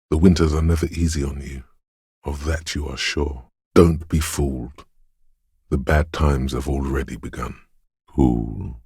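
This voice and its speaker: An eerie, brooding voice of intuition from a video game, extremely deep and very husky. It delivers cryptic, ominous narration slowly and intimately, with dramatic pauses, in a close, dry sound. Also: male voice, no echo, heavily acted. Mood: musing, wry. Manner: slow, hushed, ominous, cryptic second-person pronouncements with dramatic pauses.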